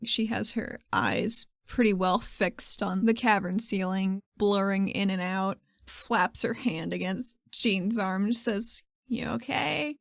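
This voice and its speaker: A woman's voice: medium pitch, slow, few pauses, no room sound, studio quality. A woman casually narrating her character's actions as a player in a tabletop roleplaying game.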